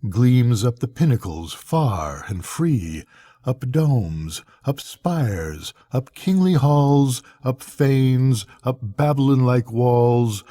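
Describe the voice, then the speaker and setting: Older man, husky, slow poetry reading. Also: mid-range voice, animated, mellow, no room sound, clean studio sound. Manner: measured, solemn, with pauses between phrases. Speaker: grandfather reading bedtime poems to grandchildren.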